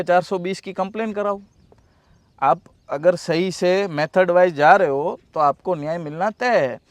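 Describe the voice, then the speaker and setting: A man's voice: high-pitched, dry recording. A man giving earnest, agreeable advice as a guest in casual conversation, repeating phrases for emphasis. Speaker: interview guest.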